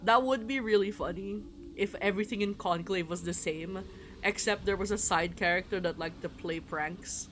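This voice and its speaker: A livestreamer chatting casually while playing a game, rambling and excitable, prone to giggling. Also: medium pitch, normal speed, a little hiss or hum. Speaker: livestreamer playing a visual novel. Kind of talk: casual live stream commentary with read-aloud game dialogue. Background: game music.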